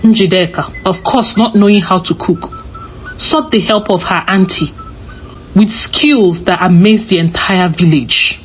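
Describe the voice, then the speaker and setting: A woman's voice: medium pitch, measured pace, a little hiss or hum. A woman narrating a scripted folktale as a storyteller. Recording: slightly dull recording with music behind. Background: music.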